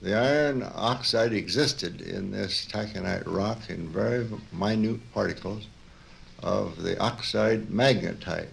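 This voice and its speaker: Old american guy, low super creaky voice, slow careful reminiscing, retired prof in radio interview. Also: rough recording. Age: older. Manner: halting, deliberate, plain-spoken. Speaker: retired professor and engineer interviewed.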